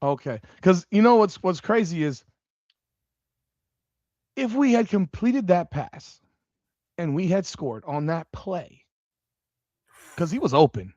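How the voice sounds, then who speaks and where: A man in his forties hosting a casual sports podcast, animated and swearing freely as he rambles like a fan. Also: high-pitched, slow pace, pauses often, dry recording. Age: 40s. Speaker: podcast host. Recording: dull dry lo-fi sound.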